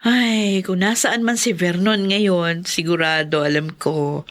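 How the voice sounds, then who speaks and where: Filipino female radio drama role: supportive, proud older sister, gentle delivery, taking her time. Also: on the low side, steady tone, slow.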